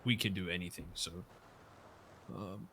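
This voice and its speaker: A man in a roleplay game, talking hesitantly with many fillers and trailing off softly. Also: low-pitched, a little slow, slight background noise. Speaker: roleplay player. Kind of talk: in-character roleplay chat.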